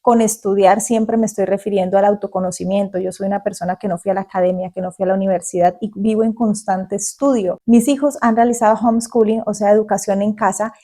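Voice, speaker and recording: A woman in her forties from Colombia, speaking calmly and earnestly to the viewer in a reflective monologue, outdoors in the countryside. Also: medium pitch, fast talker, few pauses. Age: 40s. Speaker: vlogger. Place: outdoors in the countryside facing mountains.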